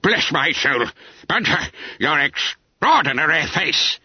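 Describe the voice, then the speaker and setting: A man acts out an imperious, outraged schoolmaster from a school story. The scolding voice sits slightly high and swings dramatically. It is recorded dry and a little muffled. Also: very animated, slow, husky, no room sound, exaggerated voice. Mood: astonished scolding. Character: Mister Quelch, stern outraged schoolmaster.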